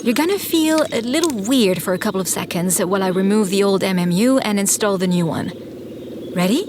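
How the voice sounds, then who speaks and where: Casting: actress as frank, gently teasing woman engineer, calm mid-range voice, audio drama, noisy ambience behind. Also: mid-range voice, a little fast, lightly acted. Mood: calm, encouraging. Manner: calm, reassuring, dry humour. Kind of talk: scripted audio drama acting.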